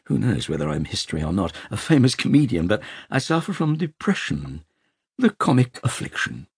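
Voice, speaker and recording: A man's voice: medium pitch, husky, no room sound, studio quality, pitched above the performer's own voice, exaggerated voice. A man voicing a manic, depressive male comedian in an audiobook, with theatrical, tremulous flourishes. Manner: thespian, flamboyant, tremulous flourishes. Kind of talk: audiobook character acting.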